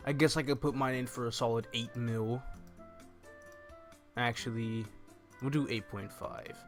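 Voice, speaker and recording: A man's voice: fairly low pitch, a bit bright. A young man giving casual, informal walkthrough commentary as a gaming video host, talking through each step into a close microphone. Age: young adult. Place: indoor room at home. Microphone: desk microphone close to the mouth. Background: faint game music.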